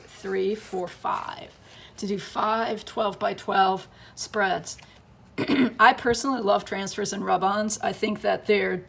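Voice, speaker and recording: A woman's voice: medium pitch, gravelly, breathy edge. A woman who is losing her voice gives a chatty scrapbooking tutorial, thinking aloud as she works at her crafting table.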